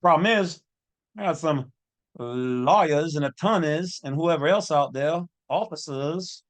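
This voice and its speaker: A man delivering informal, off-the-cuff commentary as a channel host, with a colloquial, opinionated way of talking. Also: on the high side.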